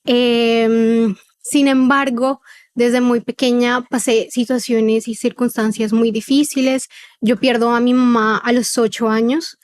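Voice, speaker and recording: A woman in her twenties with a neutral Colombian accent and a slight paisa lilt, giving personal testimony conversationally as a podcast guest, with thoughtful pauses. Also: a little high, level tone, darkish tone. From Cartagena, Colombia. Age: 20s.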